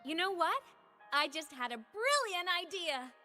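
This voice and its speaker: A woman voicing a bright, playful young student with a starry, excitable idol personality in an anime-style video game. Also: very high-pitched, a little slow, faint music behind, full character voice. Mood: playful, excited.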